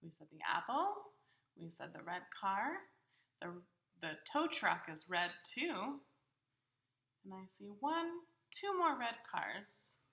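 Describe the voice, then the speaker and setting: A woman reading a picture book aloud to young children, asking them questions in simple, expressive phrases. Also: medium pitch, slow, creaky. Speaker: children's storybook reader.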